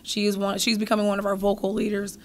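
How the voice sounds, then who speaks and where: A woman's voice: mid-range voice, monotone, quick pace, slight background noise. A woman in her thirties, a basketball coach, answering post-game questions at a press table mic in a thoughtful way, often repeating words as she starts a phrase. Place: press room in front of a logo backdrop. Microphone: table mic in front of her.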